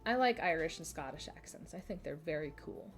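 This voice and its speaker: A woman's voice: sits low, lively intonation, a little fast, faint music behind. A woman in her twenties with a Midwestern American accent, chatting casually and giggling as she streams a game. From Minnesota, USA. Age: 20s. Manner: chatty, giggly, self-deprecating. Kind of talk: casual live-stream chat while playing.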